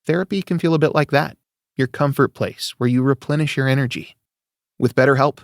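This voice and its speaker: A man's voice: medium pitch, no room sound, clean studio sound. A man doing a scripted voice-over read for an advertisement.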